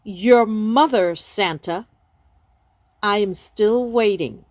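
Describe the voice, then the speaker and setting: A woman's voice: mid-range voice, a little mellow, no room sound, studio quality, lightly acted. A woman playing a firm, no-nonsense doctor counselling Santa in a comic radio play. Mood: stern, insistent. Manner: assertive, deliberate, probing.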